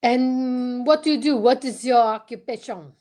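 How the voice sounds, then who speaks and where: A woman playing a pompous, courteous male detective, formal and deliberate, in old-time radio mystery style. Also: fairly high pitch, dark tone, lightly acted. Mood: probing. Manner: formal, deliberate, theatrical questioning.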